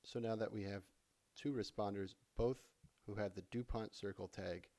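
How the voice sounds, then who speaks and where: A man gives an instructional voice-over for a screen recording. His voice sits on the low side with plenty of vocal fry. He talks conversationally, like an instructor explaining each step in turn. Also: fairly even intonation, normal speed, warm tone. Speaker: software tutorial instructor. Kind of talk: casual instructional screencast narration.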